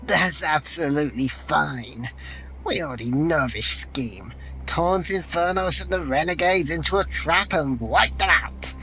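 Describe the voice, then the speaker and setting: A man's voice: a little high, measured pace, bright, forceful tone, low-quality recording. A man playing a confident, wry warrior in a fantasy audio drama, with a self-assured manner. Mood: smug.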